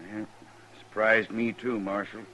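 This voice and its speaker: Low, gruff cattle trail boss. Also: male voice, little pitch movement, a little slow, pushed and bright. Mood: resigned. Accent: American Western. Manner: terse, gruff, plain-spoken. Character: rough, rock-headed, hard-driving cattle trail boss. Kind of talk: 1950s radio western acting. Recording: noisy old radio drama recording.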